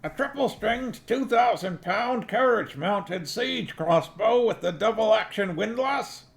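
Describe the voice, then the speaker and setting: Nobby, a gravelly, high-pitched blusterer. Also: male voice, animated, a little slow, exaggerated voice. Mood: blustering. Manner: blustering, pushy, bossing people about. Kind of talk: audiobook character voice.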